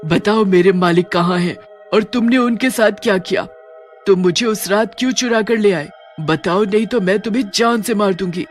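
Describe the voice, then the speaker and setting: A man's voice: high voice, normal speed, soft background music, natural voice. A man voicing a vengeful ghostly female buffalo in a cartoon story, menacing and taunting. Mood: angry, threatening. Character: vengeful ghostly female buffalo possessed by her murdered owner. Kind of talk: Hindi cartoon story acting.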